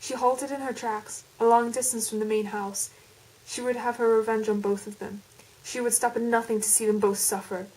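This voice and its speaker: A woman's voice: a little high, level tone, moderate pace, darkish tone, close to an everyday voice, rough recording. A woman putting on an American accent as an intense, melodramatic storyteller with dramatic, emphatic delivery. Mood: anguished, vengeful. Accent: put-on American.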